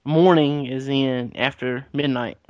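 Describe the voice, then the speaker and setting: A man co-hosting a podcast, trading dry deadpan jokes in casual banter. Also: medium pitch, slow, clear, resonant tone, no room sound, lo-fi sound. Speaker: podcast co-host. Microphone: podcast mic.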